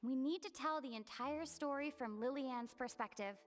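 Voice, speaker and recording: A woman playing a composed young heroine in a game, explaining a case in a measured, deliberate way. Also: high voice, very noisy, lightly acted. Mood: thoughtful, assured.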